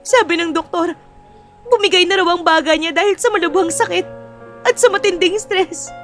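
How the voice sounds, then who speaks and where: Woman acting as crying little sister in an audio drama, super high voice, grieving hard. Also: normal speed, fairly bright tone, faint music behind. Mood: sobbing, grieving. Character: grief-stricken younger sister breaking news of father's death.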